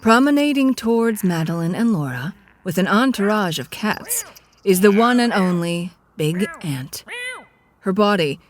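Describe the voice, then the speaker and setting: A woman's voice: mid-range voice, natural voice. A woman narrating an audio drama as a wry, descriptive storyteller.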